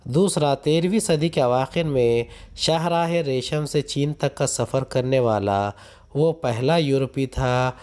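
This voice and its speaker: An Indian man reads a school lesson aloud as a teacher. His medium-pitched voice is mellow and relaxed. He goes slowly and deliberately, setting each point apart with a pause. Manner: deliberate, instructional, pausing between numbered points. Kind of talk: reading textbook questions and answers aloud as a lesson.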